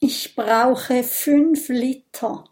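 A small storybook vampire boy, voiced by a woman narrator with a high-pitched voice. He speaks slowly and earnestly, in a matter-of-fact way. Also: fairly even intonation, darkish tone. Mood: sad, earnest.

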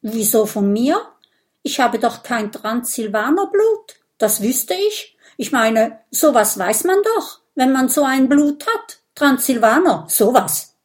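Woman voicing a tiny, excitable, boastful little dragon. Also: fairly high pitch, slow, pitched above the performer's own voice. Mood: nervous. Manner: excitable, stammering, self-important. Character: tiny boastful, jittery male burrowing dragon.

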